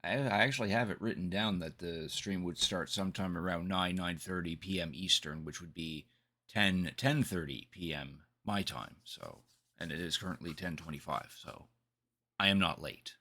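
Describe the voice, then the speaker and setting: A man with a fairly low voice and a bright, forward edge, hosting a game livestream. He talks in a casual, rambling way, full of conversational asides. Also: lively intonation, normal speed. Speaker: game live streamer, host.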